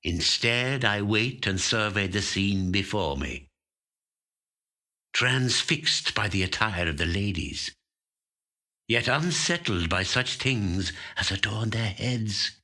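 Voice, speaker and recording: Man reading as deep, bright-voiced watchful old magpie. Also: full character voice. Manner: measured, deliberate, dramatic storytelling. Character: aging magpie, watchful, covetous hoarder of shiny things. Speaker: actor reading a short story. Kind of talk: scripted first-person literary narration. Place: recording studio.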